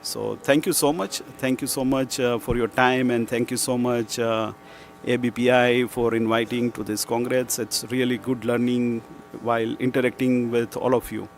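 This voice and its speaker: A man's voice: mid-range voice, flat delivery, normal speed, slight background noise. A man finishing a conference presentation, polite and full of thanks, with small fillers. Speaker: panel speaker.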